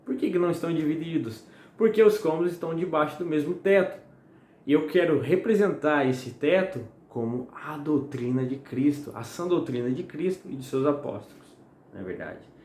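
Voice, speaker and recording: Slightly hoarse young preacher. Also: male voice, fairly high pitch, expressive, a little fast, a little mellow. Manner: chatty and friendly, asks "tá?" often. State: slightly hoarse and sweating in the heat. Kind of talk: casual home-recorded sermon. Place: home at night. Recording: echoey room, faint noise.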